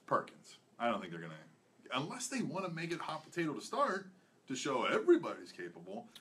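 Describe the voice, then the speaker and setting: A man's voice: fairly high pitch, huge pitch swings, brisk, fairly bright tone. A young adult man co-hosting a podcast, talking casually as he gives his predictions. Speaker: podcast co-host. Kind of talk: casual wrestling predictions chat. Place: small indoor studio with acoustic foam panels.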